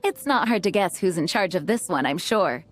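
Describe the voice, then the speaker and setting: An actress playing an earnest, modest young knight commander in an anime game, speaking formally and politely. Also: female voice, on the low side, expressive, normal speed. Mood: composed, helpful. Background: background music.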